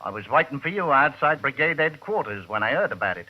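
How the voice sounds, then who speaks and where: Husky, stiff British constable. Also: male voice, mid-range voice, very animated, fast. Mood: businesslike. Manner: formal, clipped, officious. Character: stiff, proper British male colonial police constable. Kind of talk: 1940s-50s radio drama acting. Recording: dull old radio recording.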